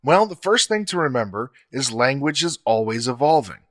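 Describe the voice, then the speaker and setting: Man, podcast co-host, measured explainer, scripted talk, average pitch. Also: sing-song, fairly bright tone.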